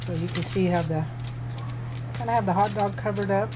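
A woman's voice: medium pitch, fairly quick, noisy background. A woman casually talking through a recipe step by step as she cooks in her home kitchen, filming on a handheld phone. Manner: chatty, self-narrating each step. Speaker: home cook vlogger. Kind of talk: casual cooking demonstration.

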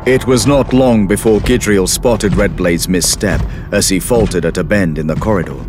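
A man's voice: sits low, moderate pace. A man giving dramatic scripted narration as the storyteller of an audio drama. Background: music.

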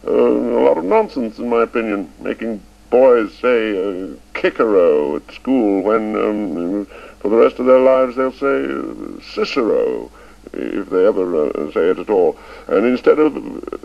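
A man's voice: fairly low pitch, animated, gravelly, voice pushed up. A man voicing an elderly male schoolmaster who stammers and halts, stubborn and fond of the old ways. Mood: scornful. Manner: stammering, halting with many uhs. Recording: dull lo-fi recording.

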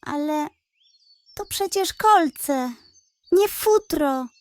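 A woman as a high-pitched, worried little hedgehog boy. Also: animated, extremely slow, exaggerated voice. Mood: hurt, indignant. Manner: worried, exclamatory, plaintive. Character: anxious young male hedgehog, proud and easily hurt. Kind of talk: children's bedtime storybook character.

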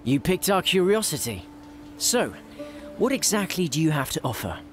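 A woman's voice: deep voice, very animated, normal speed. A woman playing a dry, sarcastic adventurer in a fantasy video game party. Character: sardonic female adventurer party member. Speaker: game character. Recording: game audio with faint music.

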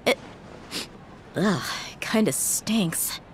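A woman's voice: medium pitch, lively intonation, drawn-out words, slight background noise. A woman playing a tough, frank young desert mercenary in a video game, grounded in manner. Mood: wry, exasperated. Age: young adult. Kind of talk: dramatic video game voice acting.

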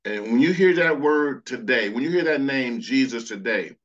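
A man's voice: medium pitch, lively intonation, normal speed, muffled recording. A man preaching a sermon over slides, earnest, reading scripture passages aloud. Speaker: pastor.

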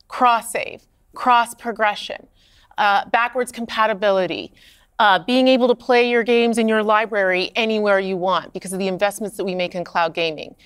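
A woman's voice: a bit bright, clean tone, no room sound. A woman executive giving confident, polished answers on a podcast set. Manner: polished, confident pitch-like delivery. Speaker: gaming company executive. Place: studio set.

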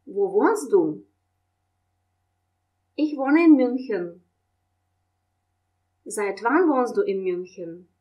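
Slow, clear woman teaching German. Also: mid-range voice, animated, muffled recording. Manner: reads German questions and answers slowly and clearly, explains in Russian. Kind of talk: language lesson, slow model dialogue.

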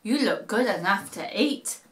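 A woman's voice: mid-range voice, animated, moderate pace, roomy sound, natural voice. A woman voicing hungry farm animals in a children's story, calling out shrilly and then coaxing. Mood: hungry, urgent.

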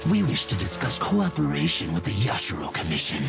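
A man's voice: mid-range voice, wildly swooping pitch, a little fast, vocal fry, full character voice. A man voicing a sinister, arrogant enemy agent in a video game trailer, formal and mocking. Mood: menacing. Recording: loud music over the voice.

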